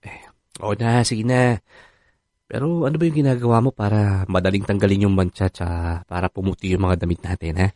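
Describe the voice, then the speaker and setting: A man's voice: fairly low pitch, steady tone, fairly quick, darkish tone, dry recording, studio quality, lightly acted. A man playing a sheepish, placating stay-at-home husband in a radio drama. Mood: weary, grumbling. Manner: hesitant, placating. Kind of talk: Filipino radio drama acting.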